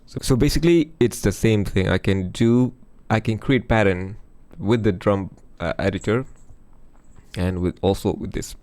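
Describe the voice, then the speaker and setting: A man losing his voice, chatting casually through a music software demo over a screen recording. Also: fairly low pitch, moderate pace. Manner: chatty, thinks aloud with fillers. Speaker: music production youtuber.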